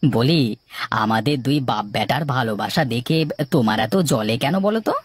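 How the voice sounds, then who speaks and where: A man's voice: medium pitch, lively intonation, moderate pace, breathy edge. A man voicing a hardworking, affectionate village husband in a cartoon, with a homely, conciliatory manner. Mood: proud, affectionate. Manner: homely, good-natured, conciliatory. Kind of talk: cartoon dialogue acting. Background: background music.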